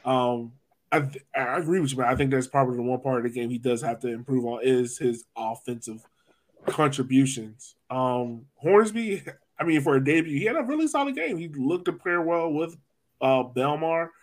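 Casting: American male podcast host, middle-register voice, relaxed everyday phrasing for casual sports talk. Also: mid-range voice. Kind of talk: casual soccer discussion.

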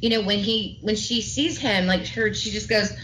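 A woman hosts a casual group podcast, her high-pitched voice carrying an enthusiastic, rambling flow full of fillers. Her pitch sits mid-range for a woman. A faint hiss of noise runs under the remote recording. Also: moderate pace, fairly bright tone, poor audio. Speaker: podcast host.

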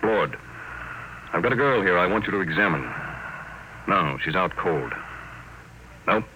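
A man acting a shrewd, cool, commanding boss in an old radio drama, brisk and probing. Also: low voice, animated. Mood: grim, brisk. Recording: faintly noisy old radio broadcast.

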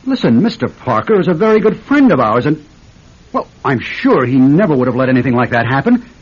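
A man's voice: mid-range voice, very animated, a little fast, breathy edge. An actor playing an anxious, stammering husband in debt and caught in his own scheme, in a classic radio drama. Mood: dismayed. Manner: flustered, stammering. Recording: dull, noisy old radio recording.

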